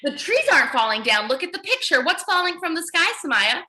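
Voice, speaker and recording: Female early-years teacher, high and very lively pitch, animated read-aloud style, encouraging. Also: fairly quick, a little hiss or hum. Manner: animated, encouraging praise and questions; repeats children's answers back. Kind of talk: interactive children's read-aloud lesson.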